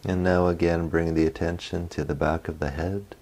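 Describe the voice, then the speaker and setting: A man leading a guided meditation as a guide, speaking gently in short phrases with long pauses. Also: deep voice, level tone.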